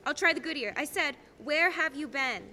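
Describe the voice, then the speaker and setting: A young woman playing a brisk, plain-spoken small-town police officer in a radio play, recorded in an echoey hall. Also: high voice, sharp tone. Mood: concerned. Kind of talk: filmed radio play acting, high school production. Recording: echoey hall, faint noise.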